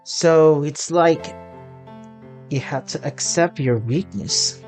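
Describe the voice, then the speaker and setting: Casual let's play streamer, unhurried rambling delivery with halting phrasing, music underneath. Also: mid-range voice, a little mellow. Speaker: gaming content creator.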